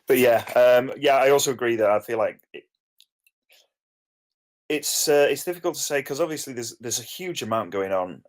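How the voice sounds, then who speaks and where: A man's voice: medium pitch, expressive, normal speed. A man giving informal feedback in a hesitant, rambling way, hedging his opinions. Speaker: participant giving script feedback.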